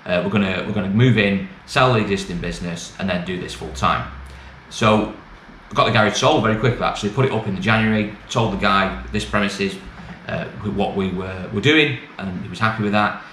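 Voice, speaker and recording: A man's voice: low voice, a little fast, a bit bright. A middle-aged British man giving a casual, matter-of-fact, rambling update to camera in colloquial language, recorded in a small bare office. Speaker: used car dealer and vlogger. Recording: echoey small room, clear background noise.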